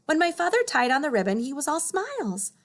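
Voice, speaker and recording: A woman's voice: high voice, big pitch swings, fairly quick. A woman narrating a children's story as a read-aloud. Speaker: storybook reader.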